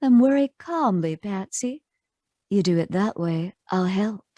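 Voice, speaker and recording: A woman playing a cool, sardonic femme fatale in a 1940s-style radio noir, trading unhurried, taunting wisecracks. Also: mid-range voice, expressive, mellow, no echo, lightly acted.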